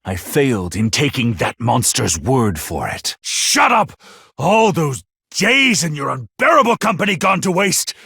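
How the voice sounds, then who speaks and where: An actor playing a polite but scheming, secretly crazed video game villain, with formal, articulate, theatrical phrasing. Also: male voice, mid-range voice, big pitch swings, measured pace, gravelly, bright, forceful tone, husky, no room sound, clean studio sound, exaggerated voice. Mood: unhinged, vengeful.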